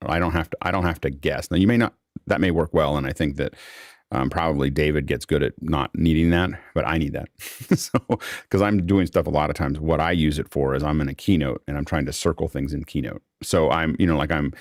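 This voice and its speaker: A man with an extremely low voice hosts a casual tech discussion. He explains things in a rambling way, circling back to restart his phrases. The sound is close and dry. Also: steady tone, a little fast, dry recording. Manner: rambling, repeats phrase openings. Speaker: host of an online panel discussion.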